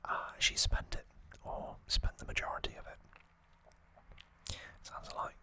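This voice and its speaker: An ASMR host whispering a recap of trivia in a breathy, unvoiced voice, with halting, informal asides. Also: sharp tone.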